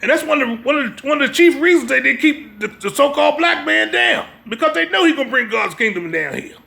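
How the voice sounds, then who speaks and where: A man's voice: very high-pitched, animated, quick pace, gravelly, bright, forceful tone. An American man giving an impromptu sermon as a religious teacher, emphatic and exhortative, often checking that listeners understand. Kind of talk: impromptu sermon with brief reading.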